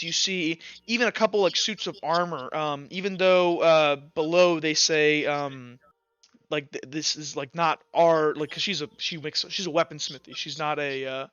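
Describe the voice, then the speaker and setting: A man working as game master, improvising tabletop game narration in halting, repetitive, casual phrases. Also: a little high, pushed and bright, smooth, no room sound, dull audio.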